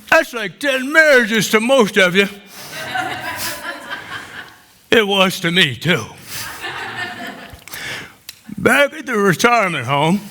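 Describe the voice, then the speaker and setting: Man acting a high-voiced, slow old widower. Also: bright, forceful tone, a little hiss or hum, full character voice. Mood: wry, folksy. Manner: unhurried storytelling with pauses for laughs. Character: chatty, cheeky, tender elderly widower in his nineties. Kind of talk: theatrical comic monologue on stage.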